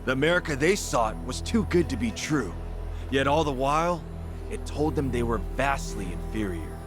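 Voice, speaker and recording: A man playing a composed, condescending casino owner and crime boss in a video game, speaking in a formal, measured way. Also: medium pitch, animated, over music, close to an everyday voice. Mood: smug lecturing. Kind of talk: English video game voice acting.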